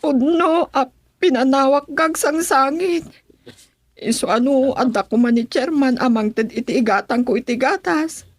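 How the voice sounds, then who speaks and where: A woman's voice: sits high, normal speed, no room sound, studio quality. A woman playing a plaintive, whimpering young village mother in a radio drama comedy. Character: tearful, grateful village mother needing milk money.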